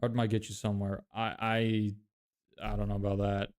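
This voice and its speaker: A man's voice: fairly low pitch, monotone, normal speed, a little mellow. Blunt man streaming live. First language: Lithuanian. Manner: loose and blunt, swears, many fillers and restarts. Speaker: livestreamer reading chat questions. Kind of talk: casual live-stream chat.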